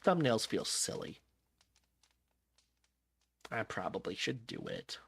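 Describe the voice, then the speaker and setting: A man's voice: fairly low pitch, sing-song, slow pace, creaky, fairly bright tone, slightly rough, no room sound. A man chatting casually to his stream audience, rambling and correcting himself with wry asides, tired and a little jet-lagged. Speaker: vtuber streamer.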